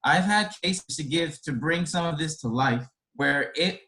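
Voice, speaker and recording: A man's voice: on the high side, a little slow, pushed and bright. Earnest man on a video call. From Boston, United States. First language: Spanish. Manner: earnest, reflective, speaks in long winding sentences. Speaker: care resource coordinator. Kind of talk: panel webinar answer.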